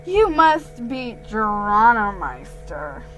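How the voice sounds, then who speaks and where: Woman playing a smirky rude salesman mouse in a storybook, slow n halting, bright voice. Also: fairly high pitch, very animated, soft background music, exaggerated voice, low-quality recording. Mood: smug, insulting.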